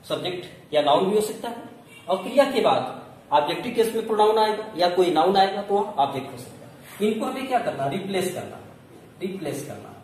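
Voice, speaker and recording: A man teaching a grammar lesson step by step, asking questions, answering them himself and repeating key points. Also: high voice. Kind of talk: classroom lesson, explaining step by step. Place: echoey room. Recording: very echoey room, distant mic, faint noise.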